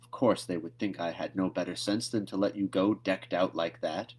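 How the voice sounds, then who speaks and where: A stern, vexed older woman from a storybook, read by a man in his own low register. She speaks briskly and with exasperation, as if keeping a child in line. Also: fairly even intonation, breathy edge. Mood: exasperated.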